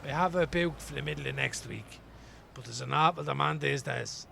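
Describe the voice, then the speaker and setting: Gravelly Dublin homeless man. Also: fairly high pitch, normal speed, pushed and bright, slight background noise, exaggerated voice. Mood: opinionated, weary. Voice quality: gravelly, creaky. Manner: rambling, colloquial, wry. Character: ageing homeless Dublin man, wry, weary, plain-spoken. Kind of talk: radio play acting as an interviewee.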